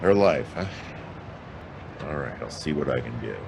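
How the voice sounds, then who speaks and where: A man's voice: rumbling low, expressive, moderate pace, creaky, full character voice. A man playing a wry hard-boiled private detective in a radio drama, with sardonic, clipped, slangy delivery. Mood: wary, wry. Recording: noisy track with outdoor city ambience.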